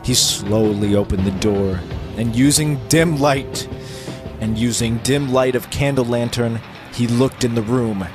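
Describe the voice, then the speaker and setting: With music clearly playing behind him, a man gives a theatrical dramatic reading of a pirate tale. He works as a storyteller, delivering the written narration in a mid-pitched voice. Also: measured pace.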